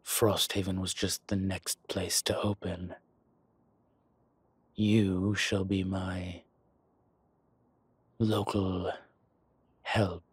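A man playing a suave, scheming dragon mob boss in an intimate audio roleplay, with measured, husky, breathy delivery and deliberate pauses. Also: deep voice, little pitch movement, no room sound, full character voice. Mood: sly, persuasive. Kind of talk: slow, intimate scripted roleplay monologue.

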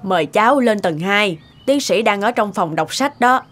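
Courteous cartoon lady, mid-pitched. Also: lively intonation, moderate pace, fairly bright tone. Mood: friendly. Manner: polite. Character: courteous, composed adult woman in a cartoon. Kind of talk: animated cartoon dialogue. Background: music.

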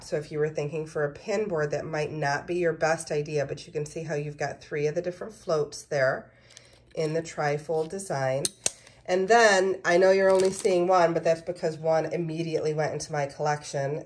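A woman's voice: deep voice, a little mellow, slight background noise. Upbeat woman showing off a shopping haul. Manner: enthusiastic, listing prices item by item. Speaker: personal shopper and pin seller. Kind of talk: casual shopping haul vlog. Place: indoors at a table of merchandise.

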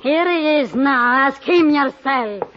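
High-voiced, stern lady. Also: animated, slow pace. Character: cool, stern female caller at the door. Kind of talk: old-time radio drama acting. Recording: dull, noisy old radio recording.

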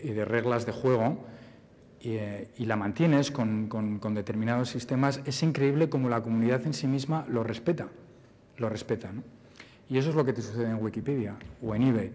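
A man's voice: mid-range voice, a little mellow. A man in his forties answering audience questions at a conference podium, thoughtful and repeating words as he searches for phrasing. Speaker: conference speaker. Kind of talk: Q&A answer at a forum. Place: large banquet hall. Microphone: podium mic. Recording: hall reverb.

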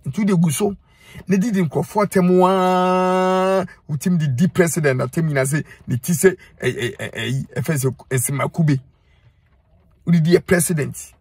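A middle-aged Ghanaian man with a husky, slightly raised voice talks straight into a phone held close inside a parked car. He lectures and admonishes in earnest, repeating his points for emphasis. The sound is dry and close. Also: a little high, moderate pace, darkish tone, no room sound. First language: Twi. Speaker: social media commentator. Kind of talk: direct-to-camera advice monologue.

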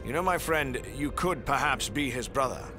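A man's voice: medium pitch, lively intonation, moderate pace, music in the background, lightly acted. A man playing a proud veteran soldier and outrider in a fantasy game, with dramatic delivery. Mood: earnest.

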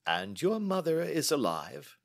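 Man acting a brisk, high-pitched, self-assured detective. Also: big pitch swings, creaky, dry recording, clean studio sound, full character voice. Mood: probing. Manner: assured, analytical, pronouncing. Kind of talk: audiobook character dialogue.

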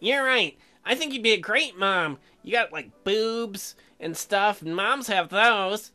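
An eager, goofy young newlywed husband, acted out by a man for a visual novel game. He is awkward and crude, with a bright, swooping delivery. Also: high voice, animated, slow pace, faint music behind, exaggerated voice.

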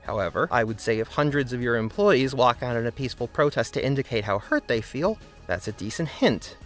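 A man's voice: mid-range voice, expressive, brisk, a bit bright. A man narrating his own scripted video essay, earnest and argumentative, over faint background music.

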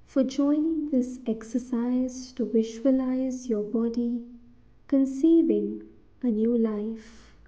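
Very slow woman guiding a meditation. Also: a little high, steady tone, warm tone, muffled recording. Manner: soothing, deliberate, short phrases with pauses. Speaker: guided meditation narrator. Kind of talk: guided meditation, scripted narration.

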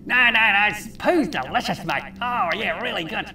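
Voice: mid-range voice, animated, moderate pace, pushed and bright, reverberant, far from the mic, slight background noise. A performer voicing a boastful, laid-back, cheerful crab in a children's puppet show, matey and colloquial. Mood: enthusiastic. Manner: matey, bragging, repetitive, colloquial.

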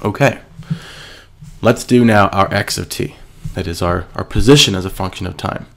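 A man's voice: low-pitched, normal speed, gravelly, a bit bright. A male physics teacher, stuffy from a cold, walking through a worksheet step by step and answering his own questions. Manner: patient, step-by-step, asks and answers himself. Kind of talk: screencast lesson explaining a worksheet.

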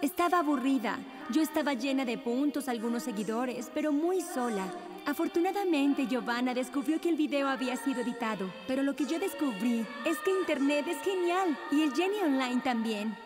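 Brainy, sensitive cartoon schoolgirl, high-pitched and sincere, music underneath. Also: expressive, normal speed. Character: sensitive, brainy young schoolgirl with a forgiving heart. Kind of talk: animated children's cartoon dub. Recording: music behind the voice.

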